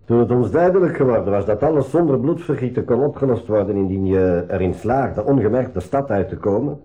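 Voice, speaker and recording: An actor playing a calm, reassuring submarine crewman who explains things in a Flemish accent, in a 1980s school radio play. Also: medium pitch, brisk, dull audio, close to an everyday voice. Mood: earnest.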